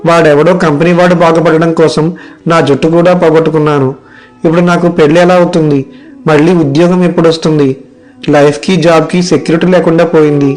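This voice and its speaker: Man acting as young village man, loyal, earnest, highish voice, animated moral tale, music underneath. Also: close to an everyday voice. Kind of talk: acted dialogue in an animated moral story.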